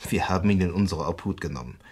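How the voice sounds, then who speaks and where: A considerate police commissioner in a 1970s radio crime play. The voice is deep and husky. He talks in a measured, soothing, matter-of-fact way. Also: a little mellow. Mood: reassuring. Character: calm, considerate male police commissioner at bedside. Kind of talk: 1970s German radio crime drama acting.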